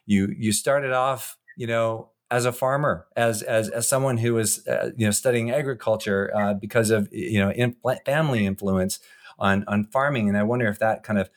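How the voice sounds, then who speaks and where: Low-voiced man hosting a podcast. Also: normal speed. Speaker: podcast co-host and interviewer. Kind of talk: podcast introduction and interview.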